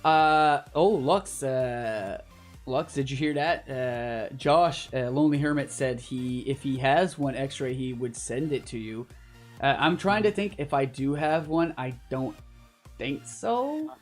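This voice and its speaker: A man's voice: medium pitch, expressive, a little slow. A man from Canada hosting a gaming livestream, chatting casually in a rambling, jokey way. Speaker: livestream host. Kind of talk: casual gaming stream chat. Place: at home in an apartment, live voice chat. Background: background music.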